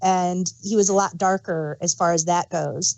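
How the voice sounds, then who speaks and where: A woman's voice: fairly low pitch, steady tone, normal speed, creaky, darkish tone, poor audio. A woman co-hosting a casual fan discussion, rambling and searching for words as she gives emphatic examples. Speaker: podcast co-host.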